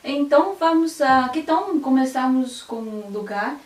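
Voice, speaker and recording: A woman's voice: sits high, measured pace, reverberant, far from the mic, noisy background. A woman hosting a radio programme, chatting about travel in a friendly conversational style. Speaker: radio host.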